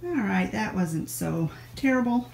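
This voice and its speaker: A woman's voice: mid-range voice, animated, measured pace, gravelly, loud music behind the voice. Chatty middle-aged woman tidying her home. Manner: chatty, explains as she works, frequent restarts. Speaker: home vlogger. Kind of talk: casual cleaning vlog narration. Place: country house, indoors, rooms with plants and a kitchen. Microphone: handheld phone camera.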